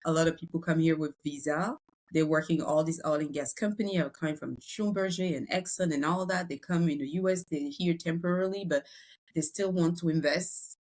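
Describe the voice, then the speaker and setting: French-accented woman, deep-ish voice, chatty broker in a podcast interview. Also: steady tone, a little fast, darkish tone. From Congo, raised in France. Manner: chatty, many fillers and restarts. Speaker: real estate broker.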